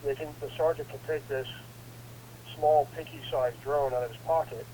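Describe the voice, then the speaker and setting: A man's voice: a little high, steady tone, moderate pace, warm tone, slight background noise, rough recording. A congested man recovering from a head cold, talking casually and rambling with many restarts. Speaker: guest investigator. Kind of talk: casual interview.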